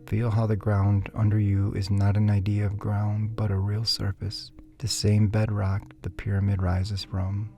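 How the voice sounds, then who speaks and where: Low, gravelly man narrating sleep meditation. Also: monotone. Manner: soft, breathy, soothing, measured delivery. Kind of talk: guided sleep meditation, scripted storytelling. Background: faint background music.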